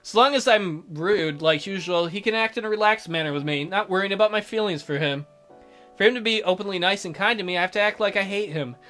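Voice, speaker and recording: A man's voice: sits high, quick pace. A man hosting a let's play, reading visual novel narration aloud with casual asides. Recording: soft music behind the voice.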